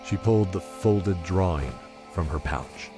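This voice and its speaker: A man reading scripted fantasy narration in a measured, solemn storyteller's manner. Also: deep voice, music in the background.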